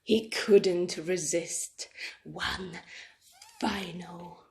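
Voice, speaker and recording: A woman reading a children's story aloud in an expressive, dramatic storytelling style. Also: lowish voice, drawn-out words.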